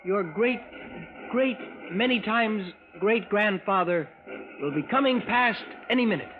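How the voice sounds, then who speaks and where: A man's voice: sits high, unhurried, noisy background. A man acting in a 1940s radio drama as a formal visitor from the future, keenly watching and projecting his words. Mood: keen anticipation. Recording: muffled vintage radio with parade music.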